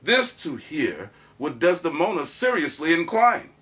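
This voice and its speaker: A man's voice: a little high, big pitch swings, measured pace, a bit bright. A man performing a proud soldier who recounts his courtship in a declamatory, theatrical Shakespearean monologue. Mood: proud, grand. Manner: declamatory, dramatic emphasis.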